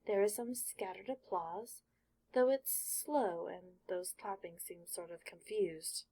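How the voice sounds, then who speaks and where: Husky woman reading a storybook. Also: mid-range voice, slow pace, mellow. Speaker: audiobook narrator. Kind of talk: fan fiction audiobook reading.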